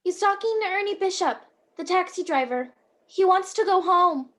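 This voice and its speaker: A woman playing a gentle, dutiful guardian angel in an amateur radio play. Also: extremely high pitch, expressive, moderate pace. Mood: concerned.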